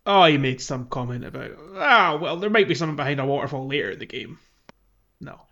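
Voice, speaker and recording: A man's voice: fairly high pitch, animated, fast talker, vocal fry, sharp tone, dull audio. A man hosting a podcast, casually recapping a video game in a conversational, jokey way. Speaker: podcast host.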